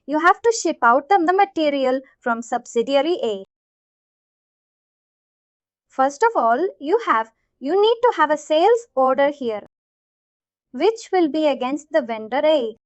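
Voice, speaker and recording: A woman's voice: sits high, animated, a little slow, no echo, highs cut off. A woman trainer explaining a concept to learners step by step, repeating herself and checking that they follow. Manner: repetitive, checks understanding often. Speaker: software trainer.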